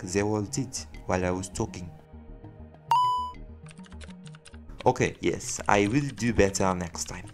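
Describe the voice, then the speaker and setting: Guy voicing a werelion vigilante, quippy and theatrical, lowish creaky voice, music underneath. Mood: grudging, subdued. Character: theatrical bantering werelion vigilante, proud but sheepish. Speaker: voice actor in audio roleplay. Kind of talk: audio roleplay acting.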